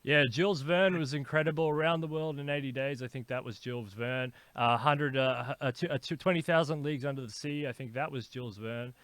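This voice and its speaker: A man hosting a podcast interview, asking long, animated questions with frequent stammers and restarts. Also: medium pitch, a little fast, few pauses, no room sound. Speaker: podcast host.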